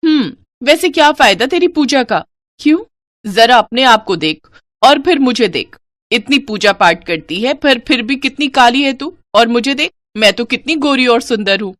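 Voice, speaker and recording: Woman doing snooty boastful young sister in a moral story, higher voice, dry close sound. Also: animated, moderate pace, fairly bright tone, no echo. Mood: mocking. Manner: taunting, boastful. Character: vain, mocking young elder sister, proud of fair skin. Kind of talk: Hindi moral story character acting.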